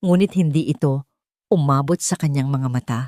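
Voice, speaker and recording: A woman's voice: rumbling low, moderate pace, dark tone, no room sound, studio quality. A woman reading a scripted romance story as a dramatic audiobook narrator.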